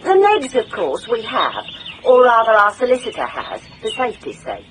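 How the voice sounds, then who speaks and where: A woman's voice: a little high, wildly swooping pitch, normal speed, slight background noise. An actress playing a shrewd female private investigator in a radio drama, speaking and narrating in a wry, controlled, pointed way. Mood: coolly threatening. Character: shrewd, steely female private investigator, quietly morally indignant. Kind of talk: radio drama acting and first-person narration.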